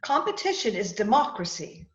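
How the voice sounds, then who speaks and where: Radio drama ingenue female actor: earnest, curious, very lively intonation, echoey muffled recording. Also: mid-range voice, moderate pace. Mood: inquisitive. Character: Susie, earnest, inquisitive young female companion. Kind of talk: old-time radio drama acting. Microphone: video call. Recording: roomy, dull video-call audio.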